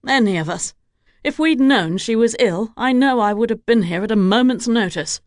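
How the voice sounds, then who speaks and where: A woman voicing a young man of title who speaks gently and sorrowfully. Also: medium pitch, normal speed, vocal fry, no echo, clean studio sound, close to an everyday voice. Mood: grieving. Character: young male lord, grieving and earnest.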